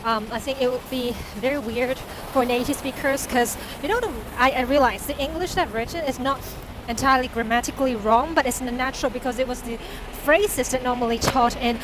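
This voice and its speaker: High-pitched woman, native Cantonese speaker. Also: a little fast, noisy background. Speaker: vlogger and novelist. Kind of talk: casual vlog talk.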